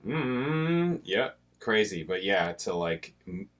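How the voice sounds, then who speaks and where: Man, very deep voice, young adult, casual rambling chat, laughs a lot. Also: big pitch swings, slow pace, fairly bright tone. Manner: rambling, laughs often, trails off. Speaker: reaction video host.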